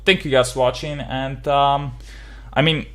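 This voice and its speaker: A man's voice: mid-range voice, fairly even intonation, measured pace, a bit bright. A young man from Slovenia with a Slovenian accent, giving his opinion in a casual gaming vlog, rambling with lots of fillers and swearing freely. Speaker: gaming video creator.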